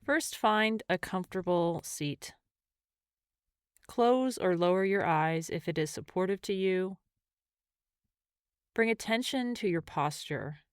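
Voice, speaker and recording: A woman guides a meditation in a slightly low, clear and smooth voice. She speaks gently, leaving space between measured phrases. The sound is dry, with no room around it. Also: expressive, no echo. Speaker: mindfulness and meditation teacher.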